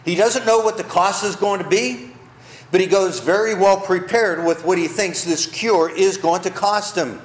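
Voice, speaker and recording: A man's voice: sits high, normal speed, reverberant, poor audio. A male pastor preaching a sermon, telling the story with rhetorical questions, in a church.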